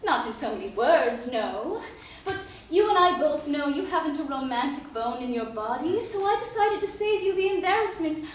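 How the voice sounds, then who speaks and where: A woman's voice: high-pitched, expressive, moderate pace, a little hiss or hum. A woman playing a domineering, status-obsessed young society fiancée in stage musical theatre, with a grand, bossy, theatrical delivery. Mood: breezy, bossy. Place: staged reading before a live audience. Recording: echoey live stage, distant mic, loud music.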